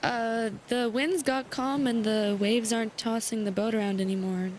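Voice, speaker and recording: A woman's voice: on the high side, steady tone, moderate pace, darkish tone, slight background noise, natural voice. An actress playing an anxious, defensive young woman captaining a small boat in an audio drama, hesitant and evasive. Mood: nervous, evasive.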